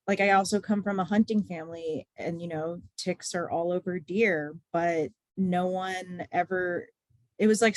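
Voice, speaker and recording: Dry, monotone young woman from Texas. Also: mid-range voice, no echo. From Texas, USA. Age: 20s. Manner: self-described monotone, flat delivery; loose, chatty, many "like" and "um" fillers. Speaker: guest, nurse and patient advocate. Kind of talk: casual interview chat. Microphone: remote call-in.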